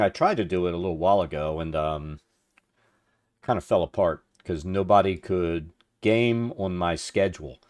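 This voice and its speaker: A middle-aged man with a low, deep voice that suits radio. He hosts a livestream in an informal, rambling way, thinking aloud as he works and chatting with viewers. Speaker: livestream host. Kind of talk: casual chat with stream viewers while working.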